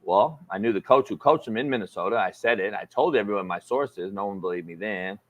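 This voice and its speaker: A man's voice: fairly low pitch, animated, a little fast. A man in his forties co-hosting a casual sports talk show, needling his partner and repeating himself for emphasis. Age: 40s. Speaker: co-host. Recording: slightly dull lo-fi sound.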